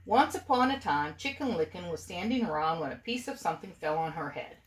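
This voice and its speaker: A mother reads a storybook aloud in a playful, informal way. Her voice is very animated and moves freely up and down, recorded in an echoey room. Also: fairly low pitch, a little fast. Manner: playful, expressive, breaks off for asides. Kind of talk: informal storybook reading to family.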